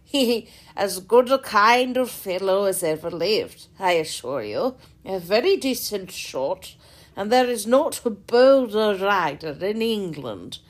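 A woman doing a loud, jovial old country squire. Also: sing-song. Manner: loud, booming and slow. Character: hearty, jovial older country gentleman.

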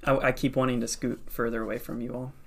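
A woman's voice: rumbling low, level tone, fast talker. A woman co-hosting a casual podcast chat, dry and joking. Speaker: podcast co-host. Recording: noisy room recording.

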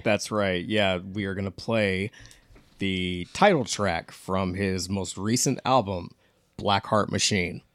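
A man's voice: low-pitched, fairly even intonation, slow, a bit bright, no echo. A man chatting casually as the co-host of a podcast. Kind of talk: casual chat.

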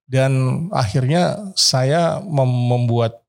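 Animated storytelling middle-aged chef. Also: male voice, mid-range voice, extremely slow, vocal fry. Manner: animated storyteller, acts out quoted voices, code-switches with English. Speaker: chef, podcast guest. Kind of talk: casual storytelling interview.

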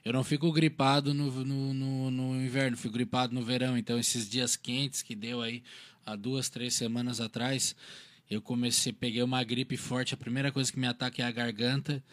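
A man's voice: mid-range voice, little pitch movement, moderate pace, a bit bright. Man hosting an interview. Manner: friendly questions, hesitant restarts. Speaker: interview host. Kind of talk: casual interview.

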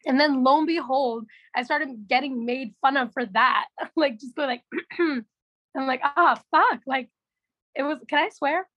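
Young canadian woman, raspy voice, kinda high, tells stories with lots of energy. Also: a little slow, no echo, lo-fi sound. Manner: animated storytelling, laughs often, swears. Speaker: podcast guest, musician. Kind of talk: casual chat.

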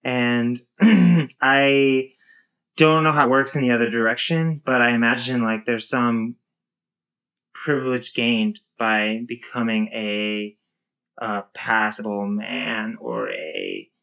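A woman in her thirties with a low voice, talking casually and thoughtfully with many fillers and restarts. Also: slow, fairly bright tone, clear, resonant tone, rough recording. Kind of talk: casual conversation.

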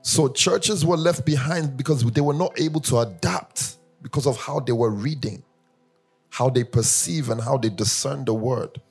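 A man from Nigeria preaching a sermon, telling humorous personal stories in a lively way that rises into sing-song emphasis. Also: mid-range voice, normal speed, husky, faint music behind. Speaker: pastor.

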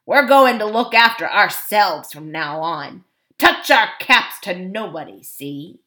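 A woman voicing a surly, defiant dwarf man in a storybook, with a growling, mocking, rough colloquial delivery. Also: mid-range voice, expressive, slow, sharp tone.

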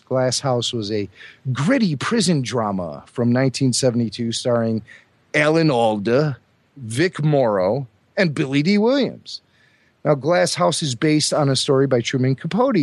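A man co-hosting a podcast, hoarse from a cold, chatting enthusiastically about film trivia. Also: medium pitch, no room sound. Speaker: podcast co-host. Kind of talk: casual chat.

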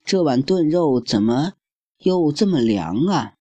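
A petulant little blonde girl from a children's storybook, pitched well above the reader's own voice, as a man puts her on. She whines and complains in a dry, close sound. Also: big pitch swings, takes it slowly, mellow, breathy edge, no room sound, dull audio, exaggerated voice. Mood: whiny.

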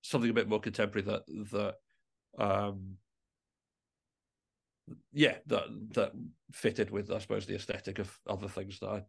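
A man speaking as a musician guest in a reflective interview, halting and often rephrasing mid-sentence. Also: fairly low pitch, little pitch movement, creaky, breathy edge.

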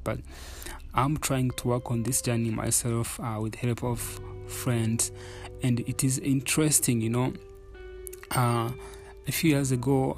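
A man's voice: medium pitch, creaky, a little mellow. A man from Uganda hosting a solo podcast, talking candidly and reflectively with many fillers and restarts. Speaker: podcast host. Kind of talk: casual solo podcast talk.

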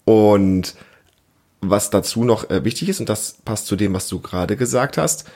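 A man around his thirties or forties hosting a casual family podcast, explaining things and gently prompting with questions. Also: fairly low pitch, moderate pace. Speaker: podcast host, father interviewing his child.

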